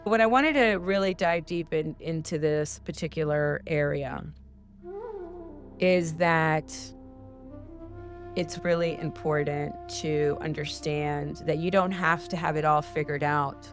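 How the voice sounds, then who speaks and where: A woman's voice: low-pitched, slow, over music. A woman hosting a spiritual podcast, talking in an earnest, heartfelt coaching tone. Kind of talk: casual spiritual advice talk.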